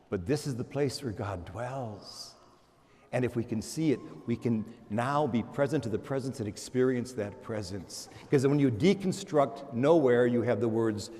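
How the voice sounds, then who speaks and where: A man giving a homily as a priest, animated, moving between loud exclamations and hushed murmurs, often repeating words and restarting in a conversational way. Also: mid-range voice. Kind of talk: sermon. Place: large church. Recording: long church reverb.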